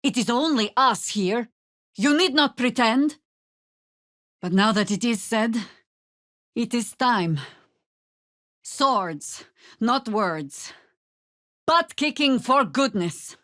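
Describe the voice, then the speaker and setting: A woman playing a seasoned, wry, world-weary warrior druid in a video game, speaking in a dry, sardonic, measured way. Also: fairly high pitch, animated, fairly bright tone, no echo. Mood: grave, forceful. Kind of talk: dramatic video game character dialogue.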